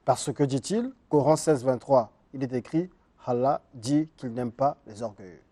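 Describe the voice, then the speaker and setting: A man's voice: medium pitch, expressive, normal speed, dark tone. A man hosting a studio interview, reading out viewer questions and directing his guest. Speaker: interviewer host.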